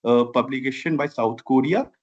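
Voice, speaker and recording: A man's voice: mid-range voice, normal speed, dull audio. Earnest Indian man with a Bihari accent. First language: Maithili. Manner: earnest, long winding sentences with repeated "I have". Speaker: guest, civil engineer and founder. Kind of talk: interview answers.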